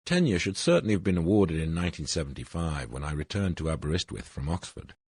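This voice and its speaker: A very deep, slightly husky voice from an older man who reads his essay in a measured, formal manner. His pitch swings widely, rising and dropping across each phrase. The sound is dry and close, with no room around it. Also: animated, a little mellow, no room sound. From Wales. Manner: measured, formal reading with falling phrase ends. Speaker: essay narrator. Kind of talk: reading an autobiographical essay.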